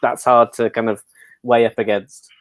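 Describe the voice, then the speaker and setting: A man chats informally as a panel guest in a dry sound free of room echo. His pitch is middling and his delivery conversational. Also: flat delivery, moderate pace, fairly bright tone. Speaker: pantomime dame performer, panel guest. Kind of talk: casual chat.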